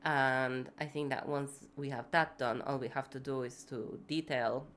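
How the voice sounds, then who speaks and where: Sick female streamer, deep and unhurried, stuffy nose, chatty and unfiltered. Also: fairly even intonation, fairly bright tone. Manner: rambling, many fillers, swears casually. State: sick with fever, congested, voice going. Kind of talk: casual live-stream chat while building.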